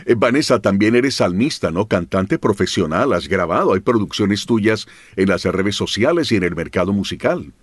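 A man's voice: fairly low pitch, animated, moderate pace, few pauses, husky, no room sound. A man hosting a faith testimony program, greeting his audience and interviewing a guest in a formal broadcast manner.

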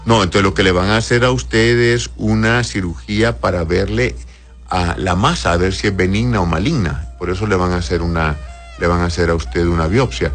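A man with a low, deep voice speaks over background music as a doctor who hosts an advice show. He is conversational and avuncular, addressing listeners with endearments and often checking that they follow. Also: moderate pace. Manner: avuncular, uses endearments and frequent checking tags. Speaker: doctor hosting a call-in advice show, answering listener messages. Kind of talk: conversational medical advice, reading messages aloud.

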